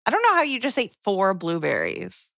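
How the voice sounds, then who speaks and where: A woman's voice: mid-range voice, wildly swooping pitch, brisk, gravelly, a bit bright, no room sound, studio quality. A woman in casual conversation as a podcast co-host. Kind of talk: casual chat.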